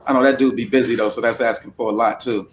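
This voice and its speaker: A man's voice: medium pitch, steady tone, quick pace, slight background noise. A man hosting a casual livestream panel discussion, talking bluntly and with plenty of profanity over a desktop microphone. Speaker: panel host.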